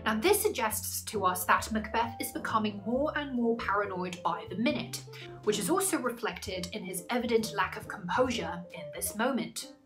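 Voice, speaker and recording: A woman's voice: mid-range voice, animated, moderate pace, music in the background, low-quality recording. A woman in her twenties giving an articulate, scripted literature lesson to camera in a small room. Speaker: English literature tutor. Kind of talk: scripted educational explanation to camera. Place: small indoor room, plain wall.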